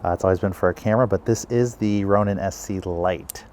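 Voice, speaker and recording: Male vlog host, lowish voice, casual chatty delivery, some noise behind him. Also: a little fast, darkish tone. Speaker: video creator and host.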